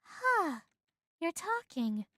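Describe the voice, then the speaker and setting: A woman voicing a startled, bewildered young cartoon woman in a halting, disbelieving way. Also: very high voice, big pitch swings, bright, forceful tone, no echo, clean studio sound, pitched above the performer's own voice, heavily acted.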